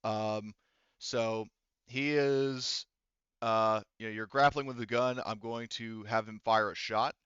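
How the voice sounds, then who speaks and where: A man's voice: lowish voice, steady tone, slow, fairly bright tone, clean tone, no room sound, highs cut off. A man narrating a tabletop game as game master, speaking casually and informally, with some swearing. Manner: profane, informal.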